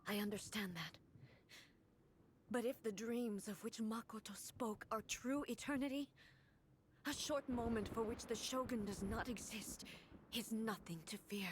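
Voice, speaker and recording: A stern, ageless female ruler, voiced by a woman, with a slightly raised voice. She speaks slowly and formally, weighing every word with gravity. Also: fairly high pitch, slight background noise, natural voice. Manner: formal, grave, measured. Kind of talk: game dialogue.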